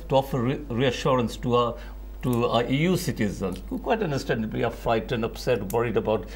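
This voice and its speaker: An older man, a local politician, speaking forcefully on a TV panel in a studio and repeating his points. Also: medium pitch, slight background noise. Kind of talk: TV panel discussion. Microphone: lapel.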